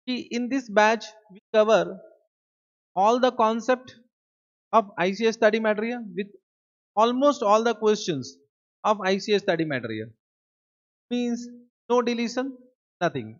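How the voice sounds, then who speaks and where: A man with an Indian English accent, a native Hindi speaker, gives a classroom lecture. He often checks in with his students and repeats his key points. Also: high voice. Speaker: teacher. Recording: dull lo-fi lecture recording.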